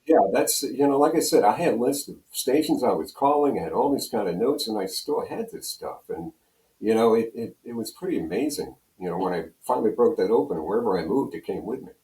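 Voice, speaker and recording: Older american guy in his 70s, deep-ish voice, echoey room, rambling old stories and repeating himself. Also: animated, a little fast, warm tone. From Pennsylvania, United States. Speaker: interview guest, radio program director and on-air personality. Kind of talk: casual storytelling reminiscence. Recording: roomy, echoing room sound.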